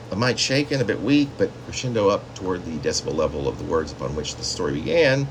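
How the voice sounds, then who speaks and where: A man reading his own literary story as a poet, with theatrical, drawn-out phrasing and dramatic emphasis. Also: sits low, background noise.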